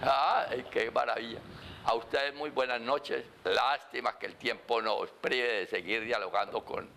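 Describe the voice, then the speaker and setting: A man's voice: fairly low pitch, vocal fry, pushed and bright. A man hosting a TV program, effusive and courteous as he signs off. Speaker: TV program host.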